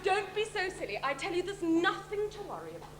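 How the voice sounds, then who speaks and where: Woman playing smooth-talking melodramatic panto character, high lively voice, echoey stage with loud music. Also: fairly quick, noisy background. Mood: parting. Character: suave, reassuring farewell-bidder called Peter. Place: theatre stage before an audience.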